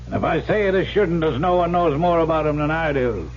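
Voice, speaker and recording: Gravelly, lively old soldier. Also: male voice, on the high side, a little fast. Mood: chatty, sly. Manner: hearty, chatty, old-fashioned rustic turns of phrase. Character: folksy, sly old soldier with a wooden leg. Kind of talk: old-time radio drama acting. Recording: muffled, lightly noisy old radio broadcast.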